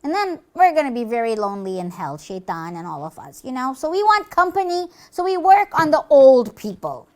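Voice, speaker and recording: A woman's voice: sits high, sing-song, a little fast, hardly any pauses, darkish tone. A woman voicing a boastful, gleeful demon henchman in a puppet show, chatty and theatrical as the demon gloats. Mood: boastful, scheming.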